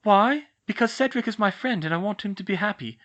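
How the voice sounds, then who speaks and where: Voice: mid-range voice, animated, a little fast, a bit bright, dull audio, pitched above the performer's own voice, close to an everyday voice. A narrator voicing a clever, bossy teenage witch girl who speaks in an earnest, insistent, scolding way. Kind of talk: fan fiction audiobook reading.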